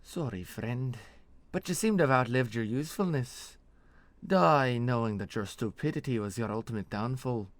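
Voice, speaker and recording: A man voicing a ruthless, sharp-tongued mercenary woman who mocks and menaces, with a put-on accent, in a fantasy story. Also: mid-range voice, moderate pace, no echo, pitched above the performer's own voice, natural voice. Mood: cold. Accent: put-on foreign fantasy accent.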